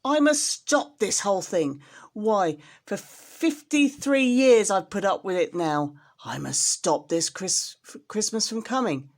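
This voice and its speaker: A woman's voice: medium pitch, animated, takes it slowly. A woman voicing a grumpy, scheming storybook creature who hates Christmas, with a sly, snarling manner. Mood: exasperated.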